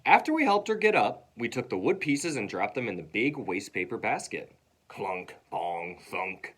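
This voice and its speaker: A man reading a children's story aloud in an expressive way, acting out sounds and dialogue. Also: medium pitch, fairly quick, husky.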